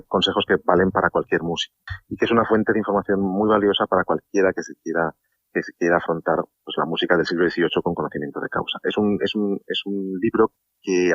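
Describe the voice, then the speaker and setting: A middle-aged Spanish man with a very low voice rattles off his answers, backtracking over his own words. The sound is dry and a little dull, like a studio radio line. He chats with easy, unhurried warmth. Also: dry recording. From Spain. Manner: talkative, warm, many restarts and laughs. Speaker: harpsichordist and translator, guest. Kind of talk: relaxed radio interview.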